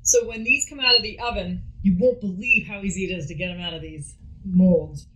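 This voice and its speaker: A woman's voice: mid-range voice, brisk, a bit bright. A woman co-hosting a food radio show, talking casually and with wry playfulness as she demonstrates baking in a kitchen, some way from the microphone. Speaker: food radio show co-host, home baker. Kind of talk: casual cooking demonstration chat. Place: indoors in a home kitchen. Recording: roomy kitchen, distant from mic.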